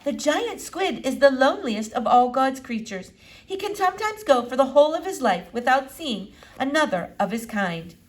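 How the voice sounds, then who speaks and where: A woman's voice: sits high, sing-song, normal speed, pitched above the performer's own voice. A woman voicing a bossy, eccentric woman doctor in a children's storybook, with formal, stilted, commanding phrasing. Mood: wistful. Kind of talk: children's novel read-aloud character voice.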